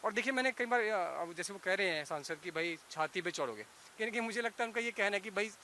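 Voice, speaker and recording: A man's voice: sits high, animated, fast, pushed and bright. A man debating on a panel, insistent and repetitive, mixing in English words, over slight hiss.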